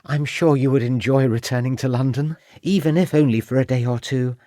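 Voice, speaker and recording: A man's voice: medium pitch, fairly even intonation, brisk, mellow, no room sound, clean studio sound, lightly acted. A man doing an eager young Victorian wife. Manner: gushing, pleading.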